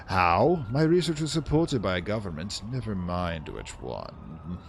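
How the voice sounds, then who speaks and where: A man with a low, lively voice gives casual video game commentary. He is wry and digressive and turns theatrical when reading text aloud, with faint noise behind him. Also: measured pace, creaky. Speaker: let's play host.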